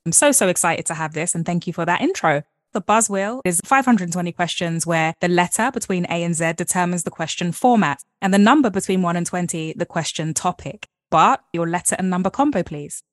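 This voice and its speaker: A woman's voice: fairly low pitch, a little fast, no echo, clean studio sound. A woman hosting a podcast interview, upbeat and playful, reading her segment intros with ease. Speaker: podcast host.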